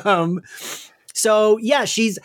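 A man's voice: high-pitched, very slow. A man co-hosting a podcast, chatting casually about an audio drama with lots of "like" and trailing asides. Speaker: podcast co-host.

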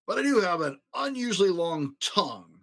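A man's voice: high voice, big pitch swings, normal speed, fairly bright tone, pitched above the performer's own voice, full character voice. A man voicing a big, scruffy, slobbering male storybook monster with a casual, whiny manner. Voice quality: slurping. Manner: casual, whiny, trying to scare.

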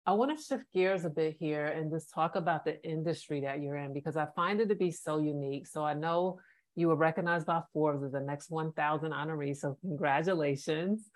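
A woman's voice: low voice, brisk. A woman hosting a conversational podcast interview, speaking in an affirming, supportive manner.

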